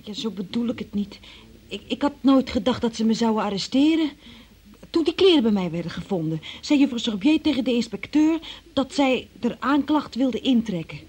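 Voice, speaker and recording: High-voiced, naive, anxious young woman. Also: animated, moderate pace, a little mellow, breathy edge. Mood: defensive. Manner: plaintive, pleading, artless. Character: naive, anxious young working-class woman accused of theft. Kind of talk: radio drama acting in Dutch.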